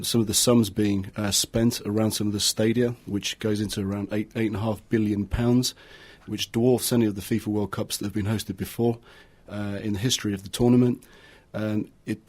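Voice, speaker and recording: A man's voice: lowish voice, a little mellow, breathy edge. A man from Argentina explaining things earnestly as a project manager in a radio interview, often repeating his words. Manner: earnest, with frequent repetition. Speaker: project assistant manager, guest.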